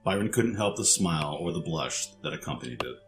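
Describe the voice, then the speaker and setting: A man with a very low voice reads scripted story narration. He serves as the narrator of an audio drama, with soft music underneath. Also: animated, a little fast.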